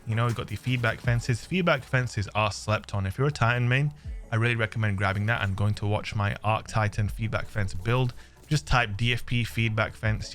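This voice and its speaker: Chatty English gaming host, slightly ill. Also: male voice, on the low side, fairly bright tone. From England. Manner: chatty, jokey, slangy, addresses viewers as friends. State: a little ill, under the weather. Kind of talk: gameplay commentary.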